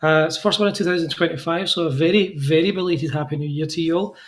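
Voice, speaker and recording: Male host, higher voice, chatty and self-deprecating. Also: fairly even intonation, quick pace. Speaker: podcast host. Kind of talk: casual interview chat.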